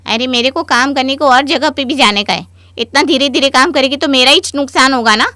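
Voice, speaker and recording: A woman's voice: high-pitched, a little fast, pushed and bright, no room sound. A woman playing a practical, money-minded housemaid in a Hindi cartoon story, speaking bluntly in colloquial street speech. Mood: complaining.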